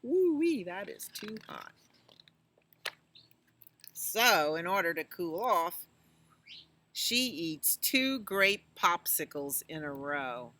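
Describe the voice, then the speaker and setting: A woman reads a picture book for young children at an unhurried pace. Her voice is somewhat low for a woman, yet bright and clear-cut in tone. She is expressive and chatty, addressing the listeners directly. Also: a little hiss or hum. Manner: animated, exclamatory, asks listeners questions. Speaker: children's storytime reader at a library.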